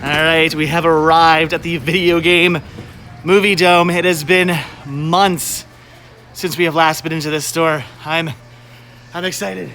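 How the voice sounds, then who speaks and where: A man's voice: on the high side, level tone, a little slow, sharp tone, soft background music. An American man hosting a casual shopping vlog, chatting to viewers and often repeating his words as he goes. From United States. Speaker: host of a movie-collecting video channel. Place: on location at a flea market and store.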